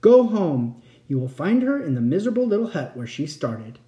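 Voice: mid-range voice, big pitch swings, quick pace, mellow, lo-fi sound, lightly acted. A stern magic fish prince from a fairy tale, as a storyteller plays him. He makes weighty, commanding pronouncements with an angry edge, echoing in the room. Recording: roomy, echoing sound.

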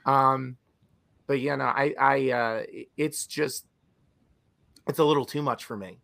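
Raspy-voiced man in animated chat. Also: medium pitch, measured pace. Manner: animated, chatty, many restarts. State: raspy, recovering from illness. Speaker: podcast co-host. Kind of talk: casual chat.

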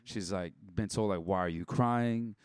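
A man's voice: on the low side, normal speed, clean tone, no echo. A young man talking casually and candidly as a podcast guest, blunt and given to swearing, acting out bits of past conversations as he goes. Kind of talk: casual personal chat.

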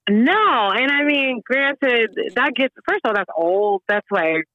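A woman's voice: a little high, measured pace, dry recording, lo-fi sound. An American woman from the US South, guest on an interview, talking casually with frequent laughs and fillers. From Georgia, US. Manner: animated, laughs often, many fillers. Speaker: guest opera singer.

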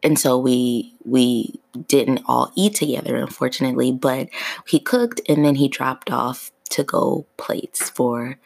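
A woman in her thirties hosting her own podcast in a relaxed, free-flowing chat, her voice still sleepy in the morning. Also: rumbling low, unhurried, gravelly, dry recording. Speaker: podcast host. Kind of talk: casual solo podcast chat.

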